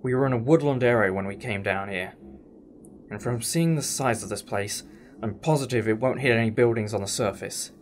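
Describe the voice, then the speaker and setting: A man's voice: mid-range voice, brisk, a little hiss or hum, close to an everyday voice. A man playing a protective time-travelling hero. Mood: firm. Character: heroic male time-traveller, protective, urgent and decisive. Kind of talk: fan audio drama acting.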